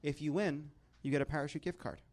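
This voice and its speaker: A man's voice: fairly high pitch, steady tone, fast, a little mellow, a little hiss or hum. A man hosting a comedy game show in front of a live audience, sarcastic and often laughing as he talks. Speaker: host.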